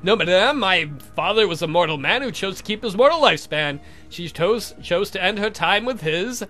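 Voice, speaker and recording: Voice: mid-range voice, lively intonation, normal speed, fairly bright tone, faint music behind, close to an everyday voice. Woman reading as a wry, courteous vampire count.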